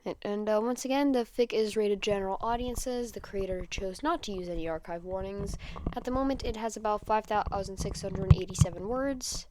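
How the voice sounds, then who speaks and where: A woman narrates fan fiction in a casual, homemade podfic style. She sometimes stumbles on a word and corrects herself as she goes. Her voice sits at a middling pitch in a dry recording with no room sound. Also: no echo. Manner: stumbles over words and corrects herself mid-sentence. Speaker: podfic reader. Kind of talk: amateur fan fiction read-aloud with casual asides.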